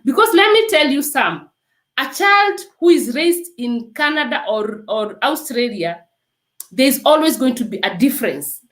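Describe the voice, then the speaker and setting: A Kenyan woman with a high, lively voice that leaps up and down as she urges her listener on. She speaks with earnest conviction, often stressing her point with "let me tell you." The sound carries some echo from the room. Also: measured pace. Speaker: nurse and guest. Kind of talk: emphatic testimony in an interview.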